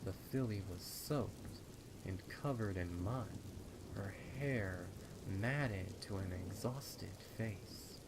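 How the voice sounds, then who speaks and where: A man narrating a horror story dramatically, with deliberate, suspenseful phrasing. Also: fairly low pitch, background noise. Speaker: fan fiction narrator.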